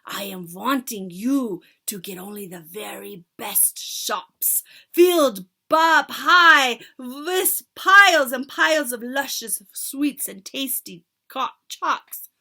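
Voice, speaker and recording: A woman's voice: on the high side, animated, slow pace, pushed and bright, voice pushed up, heavily acted. A woman voicing a tiny, furious, tyrannical old female witch leader who shrieks her orders in oddly twisted English. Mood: commanding. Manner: shrieking, foreign-sounding odd grammar.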